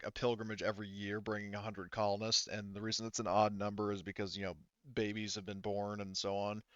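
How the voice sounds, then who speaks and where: A man explaining rules as game master of a tabletop roleplaying game, haltingly, thinking aloud and correcting himself as he goes. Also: on the low side, a bit bright, clean tone, no room sound. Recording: slightly muffled, band-limited voice audio.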